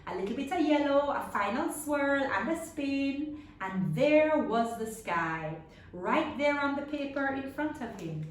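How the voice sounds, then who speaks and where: A woman's voice: high-pitched, expressive. A woman reading a children's story aloud theatrically, stressing and stretching words for her listeners. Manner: theatrical, with emphatic drawn-out words and questions to listeners. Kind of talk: dramatic read-aloud story narration. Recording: echoey room, distant mic, faint noise.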